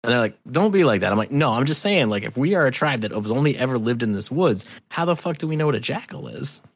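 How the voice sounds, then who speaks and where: A man being interviewed, telling stories in an animated, rambling, sweary and self-deprecating way. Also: medium pitch, fast talker, dry recording. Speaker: interview guest, festival promoter. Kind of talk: casual storytelling interview.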